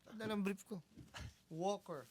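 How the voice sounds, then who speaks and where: A man's voice: sits high, a little slow, darkish tone. A Filipino man co-hosting a radio show in casual comic banter, chiming in with quips.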